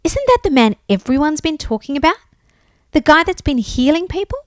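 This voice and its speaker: A high, excitable blind beggar man from a children's Bible story, acted out by a woman. He calls out eagerly and insistently, full of hope, with big swings in pitch and a close, dry sound. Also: a little slow, dry recording. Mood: excited wonder.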